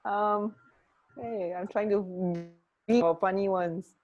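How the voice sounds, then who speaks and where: A woman co-hosting a radio show in casual, halting, giggly banter. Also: mid-range voice, fairly even intonation, darkish tone, muffled recording. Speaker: radio co-host.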